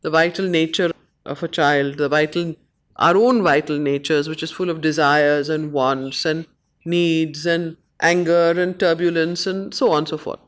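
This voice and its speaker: A woman with an Indian accent, facilitating a study circle, reading and explaining verse in short, measured phrases. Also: deep voice, steady tone. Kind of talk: reading poetry aloud and explaining it to a study group.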